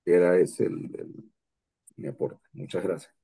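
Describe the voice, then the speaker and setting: Man, forties, gravelly and low, slow and hesitant, conference remarks. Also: steady tone, mellow. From Colombia, raised in Venezuela. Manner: hesitant, many restarts. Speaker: conference participant. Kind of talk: slow, halting reflective remarks.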